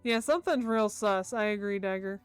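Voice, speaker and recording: A woman streaming a game, reading a visual novel aloud in an expressive way with casual asides. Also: mid-range voice, moderate pace, clear, resonant tone, no echo, soft background music.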